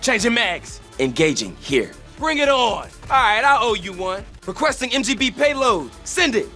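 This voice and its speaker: Cocky, high-pitched American speedster hero. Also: male voice, very animated, normal speed, sharp tone, exaggerated voice. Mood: alert, brash. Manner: swaggering, slangy, punchy short callouts. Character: cocky, boastful super-fast superhero soldier, young man. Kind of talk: video game operator voice lines. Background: music.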